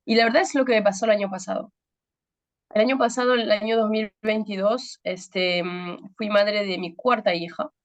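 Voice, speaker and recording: A woman in her thirties, a pastor from Peru, with a Peruvian Spanish accent touched by French, giving a women's ministry talk and pausing often with fillers to search for words. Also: mid-range voice, steady tone. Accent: Peruvian Spanish with some French influence. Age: 30s.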